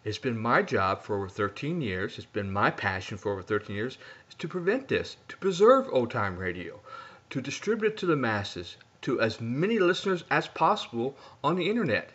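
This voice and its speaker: Middle-aged man, emphatic pleading pitch to camera, very lively intonation, dull lo-fi webcam sound. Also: moderate pace, breathy edge. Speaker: internet radio broadcaster. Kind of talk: earnest scripted plea to camera. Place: small room at home, indoors.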